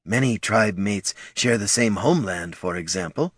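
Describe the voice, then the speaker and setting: A calm orc chieftain who speaks formally and chooses each word with care, as a man puts him on. His voice stays low and soft. The recording is dry and close. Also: slightly rough, no echo. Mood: measured. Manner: formal, measured.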